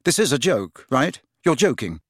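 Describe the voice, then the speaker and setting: A man's voice: mid-range voice, sing-song, moderate pace, slightly rough, no room sound, clean studio sound. A man voicing a sensible, exasperated teenage boy in an audiobook, matter-of-fact and impatient. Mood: bewildered. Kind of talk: audiobook character voice.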